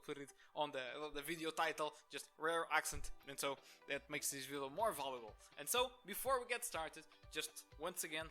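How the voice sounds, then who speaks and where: Young Portuguese-accented business owner, bright higher voice, opinionated monologue with music underneath. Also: male voice. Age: 20s. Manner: opinionated, many restarts and filler. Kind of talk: talking-head monologue to camera.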